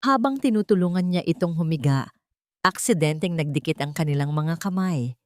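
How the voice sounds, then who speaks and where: A woman's voice: sits low, animated, moderate pace, a little mellow, dry recording, studio quality. A woman narrating a scripted romance story as a dramatic storyteller.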